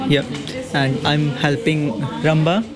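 A man's voice: on the high side, little pitch movement, slow, over loud music. A young man from India with an Indian accent, a student talking casually in an interview. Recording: loud crowd chatter behind.

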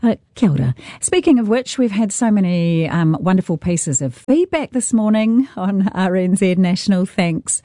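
A woman's voice: medium pitch, moderate pace, few pauses, dry recording, studio quality. A woman with a New Zealand accent hosting a radio show, chatting and reading listener messages. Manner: animated, reads listener texts aloud. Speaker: radio host. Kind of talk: warm conversational radio hosting.

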